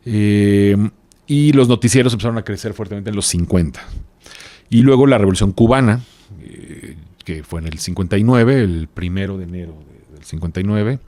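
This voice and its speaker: A man hosting a podcast, talking casually and haltingly, thinking aloud and adding little tag questions. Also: lowish voice, dark tone, no echo. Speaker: podcast host. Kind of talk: casual podcast conversation from notes.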